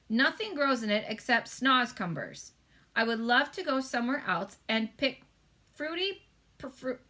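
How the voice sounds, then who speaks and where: A woman voicing a big, gentle storybook giant who speaks wistfully in muddled, folksy grammar. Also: high voice, pitched above the performer's own voice, full character voice.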